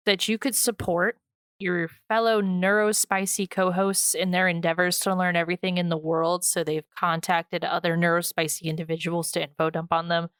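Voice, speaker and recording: A woman's voice: on the low side, clear, resonant tone, no room sound, clean studio sound. A woman co-hosting a podcast, giving casual outro plugs in an informal, jokey way. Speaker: podcast co-host.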